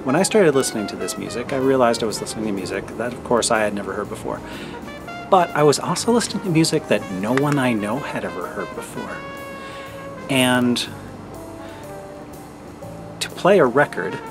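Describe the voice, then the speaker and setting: A middle-aged man giving a thoughtful, halting personal monologue as a vlogger, in a measured way. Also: fairly low pitch. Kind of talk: personal reflective monologue to camera.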